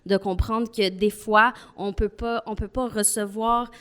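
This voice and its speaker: A woman's voice: mid-range voice, fairly even intonation, a little slow, a bit bright, clear, resonant tone. Earnest woman. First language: not French. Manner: earnest, thoughtful, argues step by step. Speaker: panel guest. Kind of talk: panel discussion.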